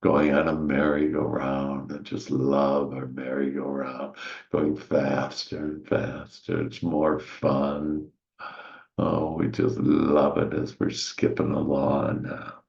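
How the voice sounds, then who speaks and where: A man leading a guided meditation in a gentle, soothing, hushed manner with long pauses and soft sighs, on a slightly muffled recording. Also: a bass voice, level tone, creaky. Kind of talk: soothing guided meditation, slow spiritual visualization.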